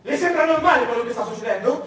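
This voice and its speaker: A man's voice: very high-pitched, animated, quick pace, fairly bright tone. A man playing an outraged man in a heated wartime confrontation on stage. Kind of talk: theatrical stage dialogue. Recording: very echoey hall, distant, audience noise.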